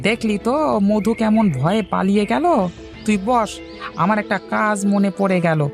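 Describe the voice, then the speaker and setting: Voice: medium pitch, fairly even intonation, normal speed, soft background music, close to an everyday voice. An actor voicing a scornful, pedantic village rationalist man in a cartoon, lecturing. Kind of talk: cartoon dialogue.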